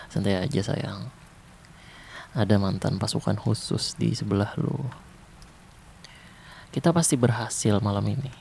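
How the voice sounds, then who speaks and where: Calm protective ex-soldier survivor, voiced by a man, gravelly, intimate ASMR roleplay, faint rain. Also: lowish voice, a little hiss or hum. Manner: soft, calm, unhurried, intimate. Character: protective ex-special-forces man surviving zombies, calm, teasing. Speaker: roleplay voice actor.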